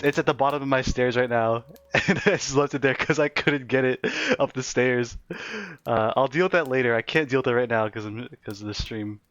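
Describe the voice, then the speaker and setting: Young male streamer, giggly and rambling, talking to chat off the cuff; slightly dull lo-fi stream audio with faint music behind. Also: medium pitch. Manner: giggly, laughs often and rambles with fillers. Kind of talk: casual livestream chat reading chat aloud. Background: faint background music.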